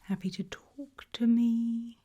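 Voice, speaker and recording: A woman whispering in a breathy voice, playing a polite, calmly creepy medical examiner in an ASMR roleplay. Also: on the high side, slow, dull audio. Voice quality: breathy, mostly unvoiced whisper.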